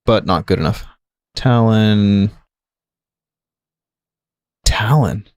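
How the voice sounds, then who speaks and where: A man's voice: fairly low pitch, extremely slow, a little mellow, dry recording, studio quality. A man hosting a gaming livestream, thinking aloud in an analytical, jokey way with casual commentary. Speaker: livestream gamer, host.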